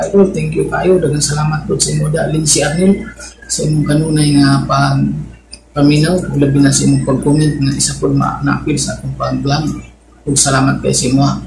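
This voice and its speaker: A man's voice: fairly high pitch, creaky. A Filipino man with a Bisaya accent chatting casually as a vlogger, thanking listeners and introducing a drama episode. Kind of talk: casual chat, reading listener comments. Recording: noisy, echoey room recording.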